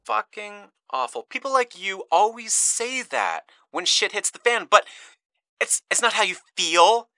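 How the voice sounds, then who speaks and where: A menacing male kidnapper, phoning a radio show with theatrical mock politeness. His voice swings widely from hushed to explosive, bright and forceful, recorded close and dry. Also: high voice, sing-song, unhurried, no echo, exaggerated voice. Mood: bitter, ranting. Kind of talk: audio drama acting.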